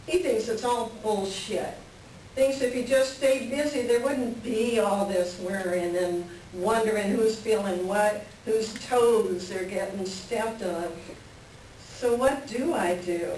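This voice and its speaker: A woman's voice: mid-range voice, slow pace, a little mellow, lightly acted. A woman playing a lonely, frustrated farm wife in a play reading, plain-spoken and wistful. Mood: resigned, frustrated. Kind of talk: staged play reading, conversational dialogue. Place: library reader's theater reading. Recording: echoey room from far off, faint noise.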